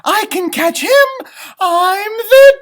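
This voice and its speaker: A plucky little storybook gingerbread man, acted by a man, pitched high and leaping about in tone. He exclaims each declaration with big, excitable emphasis. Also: slow, full character voice. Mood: alarmed, determined. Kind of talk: storybook read-aloud for children.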